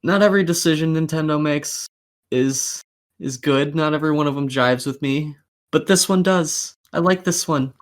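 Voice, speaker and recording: A man's voice: fairly high pitch, moderate pace. A man hosting a casual gaming podcast, opinionated and swearing freely as he talks. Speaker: podcast host.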